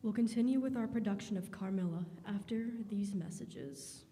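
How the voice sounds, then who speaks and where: A woman's voice: medium pitch, moderate pace, warm tone, slight background noise, natural voice. A woman reading scripted narration and announcements for a radio drama. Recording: echoey hall.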